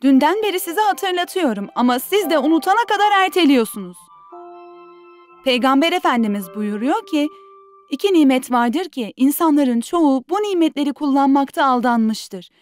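A very high-pitched mother from a children's cartoon. She is patient yet firm, gently instructing her children, with light music underneath. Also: animated, normal speed. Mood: admonishing. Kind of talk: dubbed children's cartoon acting.

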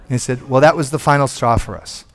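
A man in his forties, a pastor preaching a sermon from a church stage on a headset mic, animated and conversational, with frequent restarts. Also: medium pitch, quick pace. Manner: animated, moving about the stage and gesturing.

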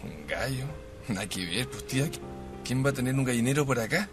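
A man's voice: mid-range voice, lively intonation, a little fast, close to an everyday voice. A man playing an attentive young Chilean fiancé in a radio mystery drama. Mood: casual. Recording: slightly distant, under loud music.

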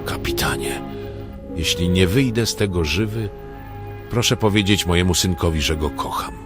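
A man's voice: sits low, moderate pace, over loud music, lightly acted. A man playing a weary, grumbling veteran cop in an amateur radio play, with dramatic delivery. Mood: grave farewell.

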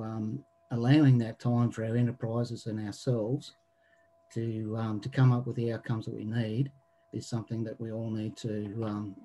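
A man in his fifties with an Australian accent, reporting back from a small group discussion on a webinar, with many pauses and ums. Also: medium pitch, a little hiss or hum, poor audio. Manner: halting, many ums, trailing off quietly. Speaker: webinar participant. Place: living room at home. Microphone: video call webcam.

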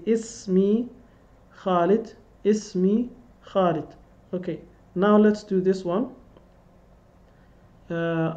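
A man's voice: high voice, dark tone, dull audio. A man teaching an online language lesson, patiently repeating phrases for students to echo, recorded through a webcam on a video call. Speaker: Arabic teacher. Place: indoor room.

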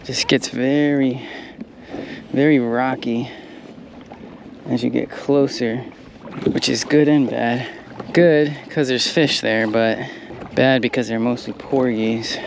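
A young man with a gravelly, mid-pitched voice talks through his fishing plan while he drifts in a kayak. He is relaxed and thinks aloud, as if he were chatting to the camera. Wind and water noise come clearly through the action-camera audio. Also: slow, long pauses. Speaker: kayak angler. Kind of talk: casual fishing commentary. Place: kayak on open water outdoors. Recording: windy outdoor camera audio.

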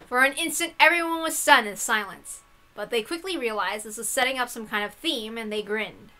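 A woman host reading a visual novel aloud, mixing dramatic narration with excitable, irreverent, sweary asides. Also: a little high, fairly quick.

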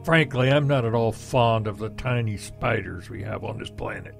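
A man's voice: lowish voice, normal speed, gravelly, soft background music. Pompous, folksy backwoods preacher, played by a man. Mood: disapproving, oratorical. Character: grumbling, bookish male backwoods preacher. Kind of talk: audio drama panel debate.

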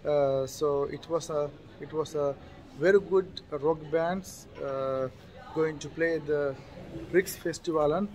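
Halting non-native man, slow and high-voiced. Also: fairly even intonation, darkish tone. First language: not English. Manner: halting, searching for words in non-native English. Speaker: vlog co-host. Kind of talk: casual vlog chat.

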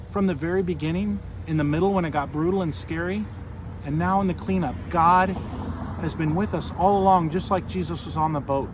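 An American man in his forties preaching an informal sermon as a pastor, earnest and conversational, with pauses and rhetorical questions. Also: fairly high pitch. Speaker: Lutheran pastor. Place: outdoors beside a fallen tree near a street. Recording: outdoor noise, insects and vehicles.

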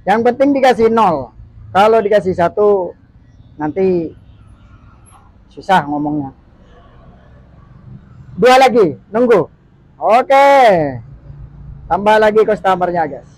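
A man's voice: high-pitched, animated, takes it slowly, long pauses, a little mellow, dry recording, poor audio. A young man working as a barber, chatting and joking to the camera while he cuts hair in a small shop. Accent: Indonesian. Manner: chatty, joking, keeps saying "guys". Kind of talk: casual vlog narration while cutting hair. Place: small barbershop, indoors, street-facing.

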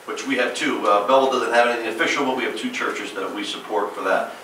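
Mayor with a New Jersey accent reporting at a council meeting, bright forceful voice, heard from across an echoey chamber. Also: male voice, fairly low pitch, steady tone, fast talker, distant mic, slight background noise. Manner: upbeat, listing events with frequent "uh" fillers. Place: large council chamber, indoors.

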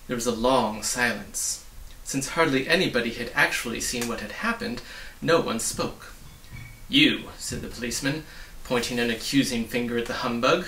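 A man's voice: medium pitch, vocal fry, pushed and bright, slight background noise. A man in his forties narrating a storybook aloud, recorded in a small room. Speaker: storybook reader. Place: small book-lined room indoors. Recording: roomy, distant microphone.

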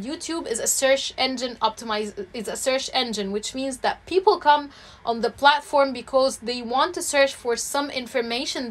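A woman's voice: high-pitched, a bit bright. A woman in her thirties coaching on YouTube growth as she reviews a channel over a screen recording, explaining step by step with frequent fillers and restarts.

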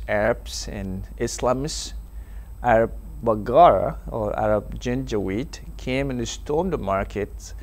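A young man whose first language is Dinka speaks in a TV studio, telling his story earnestly. He pauses often and fills gaps with "uh" and "um". The sound is clean from a clip-on mic. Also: medium pitch. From South Sudan. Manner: earnest, halting, with many fillers. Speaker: interview guest. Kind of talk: personal testimony in a TV interview. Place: TV studio set. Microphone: lapel mic.

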